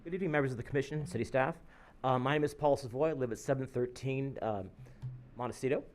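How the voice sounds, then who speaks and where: A man, a local resident, giving a measured public comment at a city planning meeting to correct an earlier point. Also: mid-range voice. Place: council chamber podium.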